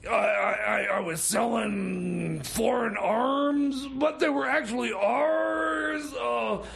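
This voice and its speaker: Radio comedy role: scatterbrained, boastful male schemer acted by a man, very high, heavily creaky voice, halting delivery. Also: crowd noise, heavily acted. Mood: boastful, flustered. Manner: stammering, rambling, halting. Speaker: radio show co-host. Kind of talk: improvised comedy radio theater.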